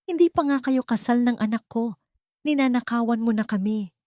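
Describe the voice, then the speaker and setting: A woman's voice: on the high side, normal speed, dark tone, no room sound, studio quality, pitched above the performer's own voice, natural voice. A woman voicing a furious, scolding elderly mother in a narrated drama. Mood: furious, accusing. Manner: scolding, agitated.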